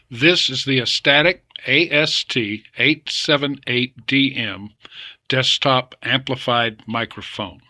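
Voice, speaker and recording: A man's voice: mid-range voice, pushed and bright, dry recording. An older man giving an informal, matter-of-fact demonstration as a radio hobbyist, speaking plainly into vintage desktop radio microphones. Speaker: amateur radio hobbyist presenter. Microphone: vintage desktop radio microphones, recorded directly.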